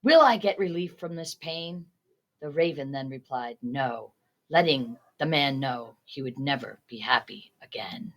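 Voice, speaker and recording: A woman's voice: extremely low pitch, expressive, unhurried. A young woman, a student, reading a scripted story retelling aloud, quoted dialogue included. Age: teens or young adult. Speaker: student reader.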